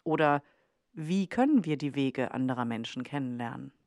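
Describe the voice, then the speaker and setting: A woman's voice: rumbling low, lively intonation, moderate pace, a little mellow, dry recording, studio quality. A woman reading scripted podcast narration as the host.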